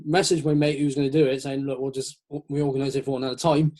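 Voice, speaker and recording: A man's voice: mid-range voice, flat delivery, fast talker, warm tone. A man in a casual, rambling, jokey chat, acting out little quotes as he goes. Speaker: host of a metal chat show.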